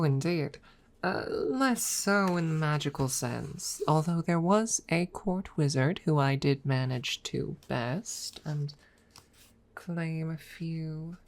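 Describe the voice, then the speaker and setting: Woman voicing a low, slow, haughty dragon. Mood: teasing. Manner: haughty, teasing, deliberate with pauses. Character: proud, possessive female dragon, smugly teasing a rival. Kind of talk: ASMR audio roleplay, close whispers.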